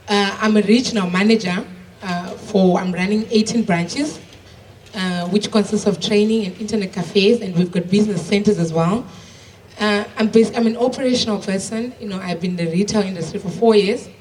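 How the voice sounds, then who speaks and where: A woman's voice: mid-range voice, moderate pace. Young woman from Zimbabwe on a panel. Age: 20s. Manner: plain, steady, frequent uhs. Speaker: panelist, regional manager. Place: conference room. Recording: distant mic, faint room noise.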